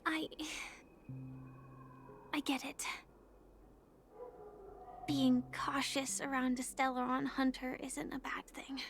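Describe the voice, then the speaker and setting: An actress playing a soft-spoken, earnest young woman with secrets in a video game, measured and breathy in delivery. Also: high voice, level tone, slight background noise, full character voice. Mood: calm, solemn. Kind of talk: scripted video game voice acting. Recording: game music underneath.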